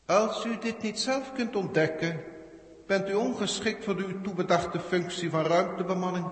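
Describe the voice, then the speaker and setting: A man's voice: high-pitched, moderate pace, natural voice. Man acting a cold, echoing alien overlord. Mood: stern, ominous. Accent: Netherlandic Dutch. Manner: formal, pedantic, condescending. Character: cold, haughty alien galactic proctor judging humans. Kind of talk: 1960s radio drama acting. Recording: echoey hall reverb, 1960s radio recording.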